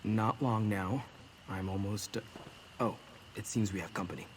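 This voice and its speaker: An actor playing an earnest, protective young mage in a video game, explaining things in a measured way. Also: male voice, on the low side, fairly even intonation, close to an everyday voice. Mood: distracted. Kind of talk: video game dialogue acting. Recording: rain noise behind the voice. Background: rain and ambience.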